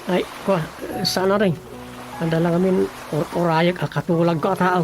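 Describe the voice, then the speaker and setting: A man's voice: sits high, flat delivery, normal speed, music in the background. An actor playing a plain-spoken, inquisitive village storekeeper man in an Ilocano radio drama comedy, with an Ilocano accent. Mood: curious.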